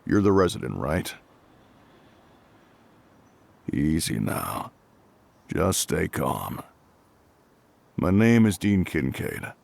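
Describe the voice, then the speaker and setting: A gruff fire captain, acted by a man with an extremely low, husky voice. His delivery rises and falls freely while staying calm and reassuring, as if speaking directly to one listener in an audio roleplay. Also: dry recording, exaggerated voice. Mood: calm, concerned. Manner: measured, matter-of-fact, reassuring. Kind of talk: intimate scripted audio roleplay spoken to the listener.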